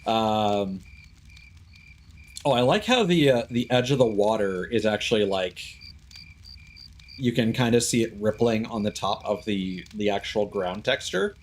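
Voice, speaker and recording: A man giving casual live gameplay commentary, explaining details in a halting way and thinking aloud with asides. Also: fairly low pitch, animated. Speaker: game streamer.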